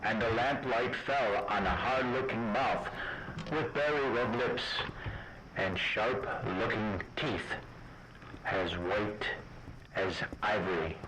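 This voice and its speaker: A man playing an earnest law clerk, narrating a travel journal in a formal, measured radio drama style. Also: fairly low pitch. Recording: noisy amateur radio drama.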